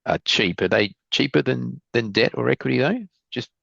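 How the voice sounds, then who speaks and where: A man's voice: mid-range voice, expressive, normal speed, creaky, a bit bright, slightly rough, no room sound. A male analyst asking conversational questions on an investor call, hesitating and stammering as he rephrases them, over dull call audio.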